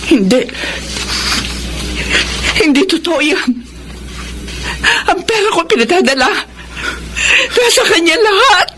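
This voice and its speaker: A woman's voice: very high voice, big pitch swings, takes it slowly, pauses often, exaggerated voice. A woman playing a betrayed, long-suffering wife and mother in an emotional Filipino radio drama. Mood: shocked disbelief. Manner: emotional, pleading. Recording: noisy radio drama with background ambience.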